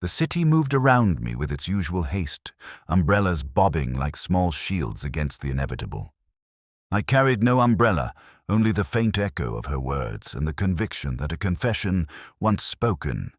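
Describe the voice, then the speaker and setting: A man reading a scripted first-person literary mystery in a solemn, measured, reflective manner. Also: low voice, dry recording, studio quality. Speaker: narrator.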